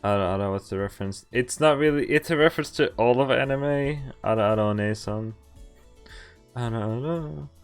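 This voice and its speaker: A man's voice: mid-range voice, moderate pace, smooth. A man doing a casual game playthrough, reading text aloud and breaking off for wry, jokey asides. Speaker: game streamer. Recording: music behind the voice. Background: visual novel game music.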